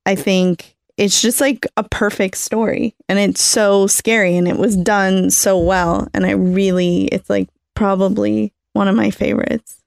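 Creaky-voiced woman chatting on a podcast. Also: medium pitch, fairly even intonation, a little slow. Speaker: podcast panelist. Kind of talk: casual podcast chat.

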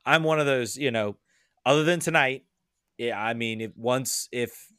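A man's voice: medium pitch, normal speed, a bit bright, clear, resonant tone, no room sound, clean studio sound. A man chatting casually on a podcast as a soccer fan, rambling with many restarts and fillers.

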